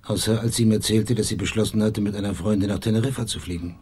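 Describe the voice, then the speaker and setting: Casting: radio-play investigator, deep-set husky voice, courteous yet insistent questioner. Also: male voice, fairly even intonation, fast, a little mellow. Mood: quietly insistent. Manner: polite, insistent, matter-of-fact questioning. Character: probing, persistent male investigator on a case. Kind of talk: radio crime drama dialogue.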